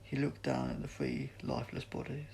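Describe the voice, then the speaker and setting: A man's voice: medium pitch, level tone, moderate pace, gravelly, a little mellow, slight background noise. A man reading a story aloud as an audiobook narrator, in an amateur style with occasional stumbles. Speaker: audiobook reader.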